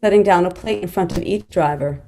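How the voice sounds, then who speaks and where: A woman's voice: on the low side, a little fast, warm tone, soft background music, low-quality recording. A woman narrating stage directions in a screenplay table read.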